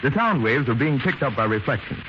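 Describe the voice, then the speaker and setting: A man's voice: medium pitch, sing-song, brisk. A man presenting as a 1930s radio announcer in a formal, precise, instructive manner. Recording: noisy muffled 1930s radio recording.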